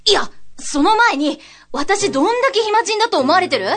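A woman's voice: very high-pitched, lively intonation, moderate pace, a bit bright. A trainee voice actress playing an introverted young office worker woman who loves beer and makes dry, exasperated comebacks in a radio drama. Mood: amused, retorting. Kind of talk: acted radio drama dialogue.

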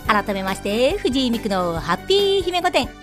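A woman's voice: on the high side, very animated, moderate pace, over music. A woman hosting a radio show in bubbly casual talk, with playfully over-polite phrasing.

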